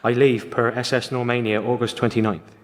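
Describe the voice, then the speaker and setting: A man's voice: mid-range voice, flat delivery, a little fast, natural voice. An actor playing an earnest young gamekeeper reading out his own letter in a radio drama. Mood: earnest, yearning. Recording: long hall-like reverb on voice.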